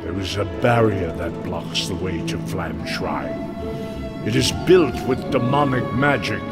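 A man's voice: on the low side, expressive, measured pace, big-room sound. An actor playing the mournful, vengeful ghost of a betrayed nobleman in a fantasy game, solemn and theatrical. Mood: grave, urgent. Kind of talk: dramatic fantasy game acting. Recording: loud music behind the voice. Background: dramatic game music.

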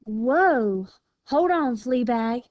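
A woman's voice: high-pitched, lively intonation, unhurried, a little mellow, no echo, dull audio, pitched above the performer's own voice. A woman voicing a vain, fussy female armadillo in a storybook, with prim, emphatic sass. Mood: sassy, persuasive.

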